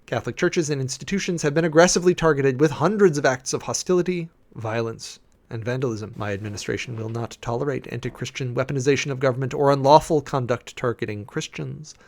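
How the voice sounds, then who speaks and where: A man giving a dramatic, deliberate read-aloud of a formal document, with theatrical emphasis and weighty pauses. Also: mid-range voice. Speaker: preacher, host of a dramatic reading series.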